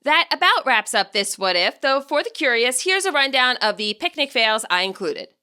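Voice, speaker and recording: Bright, animated woman narrator. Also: high voice, normal speed, smooth. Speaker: audiobook narrator and fan fiction author. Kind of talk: expressive scripted story narration.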